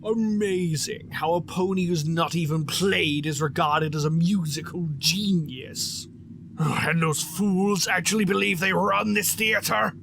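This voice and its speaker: An actor playing a haughty, sardonic phantom creature of the opera house in an audio drama, theatrical and disdainful. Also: male voice, high-pitched, measured pace, gravelly, noisy background. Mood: scornful, disdainful.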